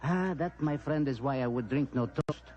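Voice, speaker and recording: An actor playing a solemn, menacing police minister, formal and deliberate, in old-time radio drama on an old recording. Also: male voice, medium pitch, a little mellow, lo-fi sound, close to an everyday voice. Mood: grave, menacing. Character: solemn, menacing Balkan police minister, smooth lady killer.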